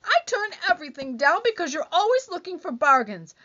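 A woman's voice: very high-pitched, big pitch swings, fairly quick, pushed and bright, exaggerated voice. A woman acting a needy, easily wounded, nagging wife in an old-time radio comedy, with whiny, dramatic complaining. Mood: indignant. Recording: dull, lo-fi band-limited sound.

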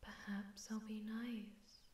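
A woman voicing a haughty, seductive sleep paralysis demon in a breathy, teasing whisper with an echoing distortion effect, for an ASMR audio roleplay. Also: mid-range voice, little pitch movement, darkish tone, a little hiss or hum. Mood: flirtatious, intrigued. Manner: teasing, slow, flirtatious, condescending.

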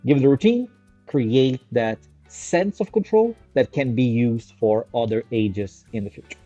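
A man in his thirties from Brazil, a native Portuguese speaker, teaching casually to a webcam from a small room. His voice is mellow and warm, the sound is dry and slightly dull, and faint music sits underneath. He talks with energy, checks in with "right?" and stretches out each new list heading. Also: medium pitch, lively intonation, measured pace, dry recording. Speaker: educator presenting on infant care. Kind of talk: casual educational talk to camera. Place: small indoor room with a screen on the wall.

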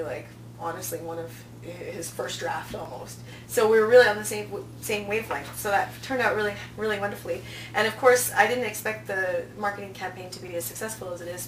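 A woman in her thirties speaking casually as an interview guest, telling anecdotes and acting out quoted remarks. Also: medium pitch. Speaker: festival programming director, interview guest. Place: small office, indoors. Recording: roomy, distant mic, noticeable hum.

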